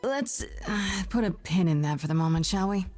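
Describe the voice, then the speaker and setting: An actress playing a young muse heroine in a video game, casual and measured, with a dryly sceptical way of asking questions. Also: female voice, medium pitch, lively intonation, a little mellow, soft background music, close to an everyday voice. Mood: wary, dry. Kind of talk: naturalistic video game voice acting.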